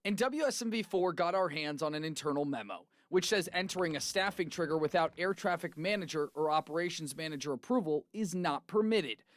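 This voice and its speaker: Higher-pitched American male news reporter, dry sound. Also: brisk, hardly any pauses, dry recording. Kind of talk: scripted news narration.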